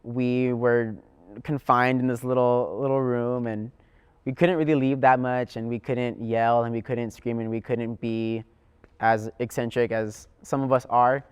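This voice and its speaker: A man's voice: mid-range voice, little pitch movement, a little slow, clean tone. A teenage young man, a student actor, giving casual answers in an interview. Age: teens.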